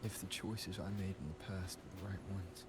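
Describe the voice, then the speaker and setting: A man voicing an earnest, troubled young hero in a video game story, with a hesitant, soft-spoken delivery. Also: low-pitched, steady tone, moderate pace, close to an everyday voice. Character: troubled, self-doubting young male hero, earnest and caring. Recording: loud background noise and ambience. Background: loud ambient noise.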